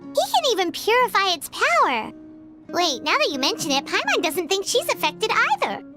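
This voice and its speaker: A woman voicing a small, childlike, curious fairy companion with a squeaky, perky voice in a video game. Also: huge pitch swings, normal speed, over music, exaggerated voice. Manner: refers to herself in the third person, perky.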